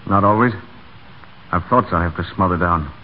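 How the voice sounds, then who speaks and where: Husky, brash stable hand, tinny radio. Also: male voice, sits low, moderate pace. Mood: brooding. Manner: earnest, blunt. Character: brash, brooding, virile stable hand, lovestruck. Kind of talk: 1940s live radio drama acting. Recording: tinny, narrow 1940 radio broadcast.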